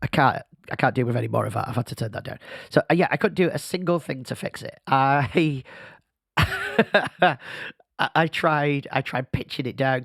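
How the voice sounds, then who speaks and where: A man's voice: mid-range voice, gravelly, fairly bright tone, no echo, studio quality. A man who is losing his voice, hosting a casual solo podcast in a self-deprecating, rambling way. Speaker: podcast host. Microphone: podcast microphone.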